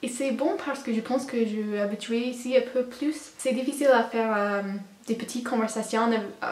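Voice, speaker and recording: A woman's voice: fairly high pitch, normal speed, echoey room. A teenage girl from Canada with an English Canadian accent, a native English speaker vlogging casually in a learned language, hesitant and searching for words. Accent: English Canadian learner of French. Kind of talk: casual vlog, speaking unscripted.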